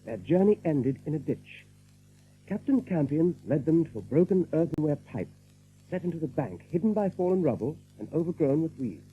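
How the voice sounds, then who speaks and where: Lively male narrator, thin narrow-band sound. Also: a little high, moderate pace. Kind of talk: dramatic audiobook narration. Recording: narrow-band recording with faint hum.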